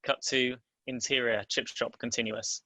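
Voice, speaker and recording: A man's voice: mid-range voice, level tone, normal speed, pushed and bright, no echo. A man reading stage directions as scripted narration at a table read.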